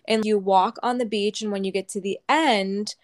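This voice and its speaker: A woman's voice: mid-range voice, steady tone, moderate pace. Young woman telling a story, swearing freely. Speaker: podcast co-host. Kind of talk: casual storytelling.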